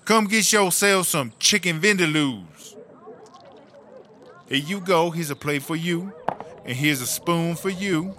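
A man's voice: on the high side, takes it slowly, long pauses, sharp tone, a little hiss or hum. A man playing a sassy, bossy female inmate, talking in emphatic slang in a comedic audio drama. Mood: bossy, inviting. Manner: slangy, emphatic, self-promoting.

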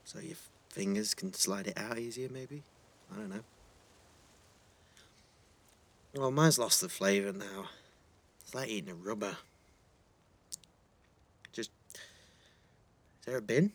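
A man's voice: lowish voice, measured pace, pauses often. An actor playing a shy, earnest teenage schoolboy, hesitant and flustered, repeating his words in a naturalistic audio drama. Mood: nervous. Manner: hesitant, stammering repetitions, flustered.

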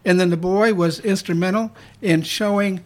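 A woman's voice: fairly low pitch, steady tone, normal speed. A woman who hosts a blues show, introducing herself casually on community radio.

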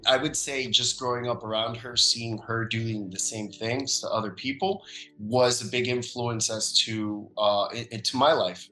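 A man's voice: lowish voice, roomy sound, poor audio. A man from the Dominican Republic, a podcast guest talking casually and thoughtfully, with many ums and uhs. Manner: relaxed, thoughtful, frequent um and uh fillers. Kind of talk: casual conversational interview.

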